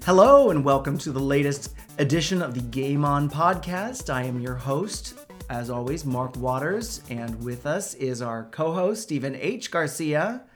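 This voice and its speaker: A man's voice: a little high, lively intonation, unhurried, over music. A man hosting a casual gaming podcast, chatty and rambling, laughing often. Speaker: podcast host. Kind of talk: casual chat.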